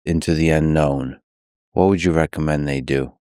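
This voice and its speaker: Male podcast host, low voice, slow and measured, dry close mic. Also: steady tone, dark tone, clean tone, no room sound. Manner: slow, measured, reads a long post aloud. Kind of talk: long-form interview.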